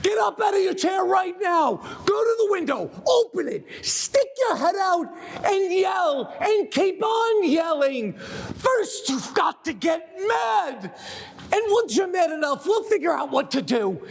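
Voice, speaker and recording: An actor playing an enraged, fed-up TV news anchor who shouts at his viewers in a dramatic monologue. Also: very high-pitched, sing-song, a little slow, creaky, exaggerated voice. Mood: raging. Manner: shouting, exhorting, repeating refrains. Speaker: stage actor.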